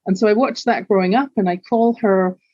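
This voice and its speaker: A woman's voice: mid-range voice, brisk, dark tone, dry recording. Brief: older English woman with a London accent, reflective guest storyteller, dull dry remote line. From England. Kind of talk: candid personal storytelling.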